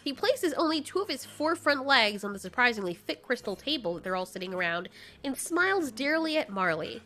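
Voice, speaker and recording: A woman narrates a scripted audio drama with a storyteller's sense of drama. Her delivery is animated and bright, with faint music behind her. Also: high-pitched, brisk.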